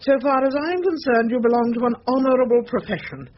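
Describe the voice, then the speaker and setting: An actress playing a loyal, prim, reproving older English wife in British radio drama, proper, firm and matter-of-fact. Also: female voice, on the high side, a little fast, natural voice. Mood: firm, dismissive. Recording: dull, slightly noisy radio recording.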